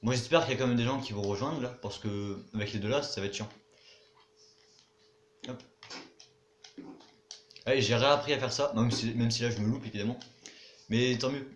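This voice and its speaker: A man's voice: medium pitch, normal speed, pauses often. Young man, casual game commentary, mutters and swears, echoey room, faint hiss. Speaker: gaming YouTuber.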